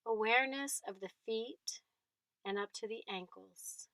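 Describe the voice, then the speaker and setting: Slow, dry-sounding woman guiding meditation. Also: on the high side, no echo. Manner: unhurried, gentle phrases with long pauses. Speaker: mindfulness meditation guide. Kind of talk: guided body scan meditation.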